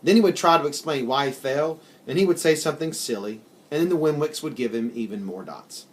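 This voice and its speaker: A man reading a children's story aloud in an expressive, deliberate storytelling manner. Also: fairly high pitch. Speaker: story reader. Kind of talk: scripted children's storybook narration.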